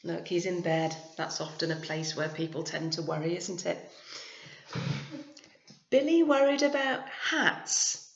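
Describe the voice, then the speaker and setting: A woman reading a picture book to young children like a teacher, expressively, with chatty asides and gentle questions to her listeners. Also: lowish voice, measured pace, vocal fry, long pauses. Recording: echoey room, slightly muffled sound.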